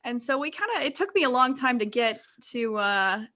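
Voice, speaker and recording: A woman's voice: a little high, very animated, normal speed, pushed and bright. A young woman chatting casually as a guest on a podcast. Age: young adult. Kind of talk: casual chat.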